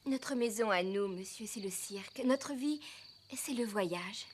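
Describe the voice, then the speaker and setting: A woman voicing a tender, loyal young circus girl in a cartoon, gentle and earnest. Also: mid-range voice, measured pace, slight background noise, lightly acted. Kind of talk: cartoon voice acting.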